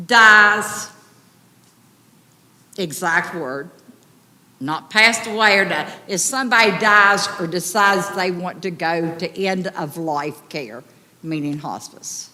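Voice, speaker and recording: An upset woman with a big, loud voice, pleading urgently as a resident at a public meeting. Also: medium pitch. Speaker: resident addressing county commissioners. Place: county commission meeting room. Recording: echoey hall, distant reverb.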